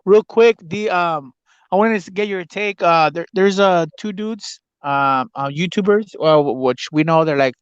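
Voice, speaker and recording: Guy chatting on a talk show, voice a bit high, halting and hesitant, dull lo-fi mic sound. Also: clear, resonant tone, no echo. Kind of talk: casual chat.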